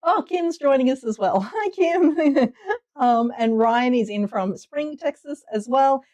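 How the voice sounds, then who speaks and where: A bubbly woman with a slightly high voice hosts a relaxed livestream, greeting viewers one by one. She is getting over a cold but stays chatty and cheerful. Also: slow. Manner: chatty and upbeat, reading viewer names aloud. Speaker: livestream host.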